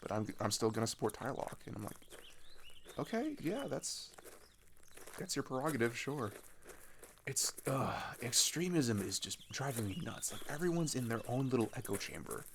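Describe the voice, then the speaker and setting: A young man playing an earnest, frustrated teenage traveller in an ASMR audio roleplay, talking in a casual, halting, rambling way. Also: medium pitch, very animated, vocal fry, a little hiss or hum.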